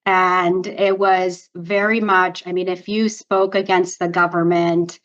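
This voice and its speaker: A woman's voice: on the low side, little pitch movement, slow pace, a bit bright. A middle-aged woman from Romania, a native Romanian speaker, telling her story in an upbeat way as a guest on a video-call interview. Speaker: guest, PR agency founder. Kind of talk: interview answers. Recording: slightly dull lo-fi audio.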